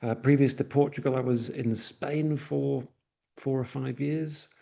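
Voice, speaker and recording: Manchester guy giving a webinar, kinda gravelly and dark, restarts a lot. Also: mid-range voice, steady tone, measured pace. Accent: Mancunian. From northwest England. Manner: talks with frequent uhs and restarts. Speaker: presenter. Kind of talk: webinar presentation.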